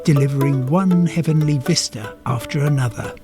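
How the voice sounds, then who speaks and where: A man's voice: medium pitch, moderate pace, over music. A man presenting a travel documentary in polished, enthusiastic scripted narration.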